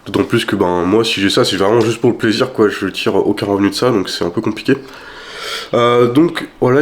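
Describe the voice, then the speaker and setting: A young man vlogging casually about his week, rambling with lots of fillers and restarts, sounding stuffy from a cold. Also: fairly low pitch. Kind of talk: casual weekly vlog chat.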